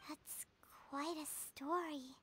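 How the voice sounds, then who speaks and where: An actress voicing a tiny, naive, earnest female fairy companion in a video game, with a squeaky childlike voice and simple speech. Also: steady tone, takes it slowly, pushed and bright, a little hiss or hum, exaggerated voice. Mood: puzzled, subdued. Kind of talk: cartoonish video game dialogue.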